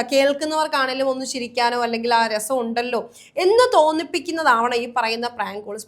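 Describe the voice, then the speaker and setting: A woman hosting a conversational news discussion, wordy and often rephrasing her points. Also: high voice.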